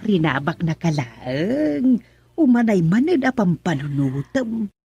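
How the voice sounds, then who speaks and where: A woman with an Ilocano accent playing a concerned mother who talks slowly in a radio drama. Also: medium pitch, big pitch swings, warm tone, soft background music.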